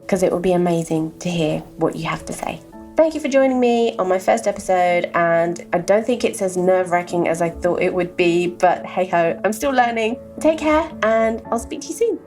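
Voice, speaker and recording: A middle-aged woman from London with a softened London accent, hosting a reflective solo podcast and repeating words for emphasis. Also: medium pitch. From London, England. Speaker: podcast host.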